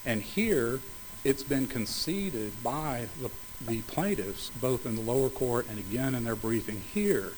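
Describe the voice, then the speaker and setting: Male attorney at oral argument, mid-pitched, formal and measured, a touch hoarse. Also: slight background noise, rough recording. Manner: measured, formal legal phrasing with occasional restarts. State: slightly hoarse, coughing. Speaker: attorney arguing for a city.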